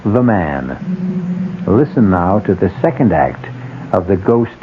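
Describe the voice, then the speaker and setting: A man narrating a radio drama as its host, with a droll, deliberate, theatrical delivery. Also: low voice, very animated, phone-quality sound, heavily acted. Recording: noisy band-limited old radio broadcast.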